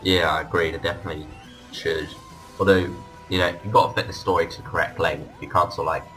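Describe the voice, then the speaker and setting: A man's voice: a bass voice, sharp tone, rough recording. A man hosting a casual fan discussion, with hesitant, hedging phrasing. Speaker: podcast host. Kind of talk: casual fan discussion of audio drama releases.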